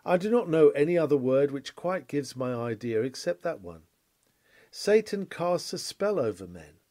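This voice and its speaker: A man reading an old Victorian sermon aloud in a measured, solemn, rhetorical manner. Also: a little high, very animated, darkish tone. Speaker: sermon reader.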